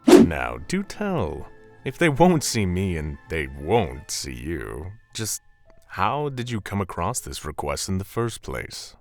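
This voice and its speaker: Man voicing a deep, gravelly demon prince. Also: very animated, takes it slowly, darkish tone, soft background music, heavily acted. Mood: haughty, irritated. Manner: dry, haughty, sardonic. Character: arrogant, sardonic demon prince, languid and haughty. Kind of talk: Minecraft roleplay voice acting.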